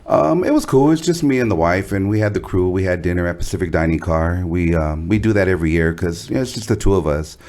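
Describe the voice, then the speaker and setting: A man in his forties from the Los Angeles harbor area chats as a podcast guest. His voice sits low, and he talks in an easygoing, modest way. He comes across as warm and relaxed. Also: quick pace, few pauses. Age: 40s. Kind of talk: casual interview chat.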